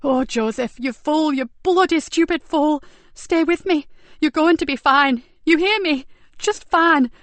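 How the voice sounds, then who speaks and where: A woman's voice: on the high side, steady tone, normal speed, no echo, natural voice. A woman doing a fierce female police officer. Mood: pleading. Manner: forceful, urgent.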